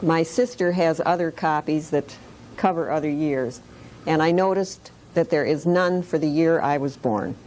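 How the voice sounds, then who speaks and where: A woman in her late sixties from Detroit, telling her life story in a classroom interview in a wry, unhurried way. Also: sits low, a little mellow, slight background noise, poor audio. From Detroit, Michigan. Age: 60s. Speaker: interviewee sharing memories of the Depression. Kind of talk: oral history interview. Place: school classroom.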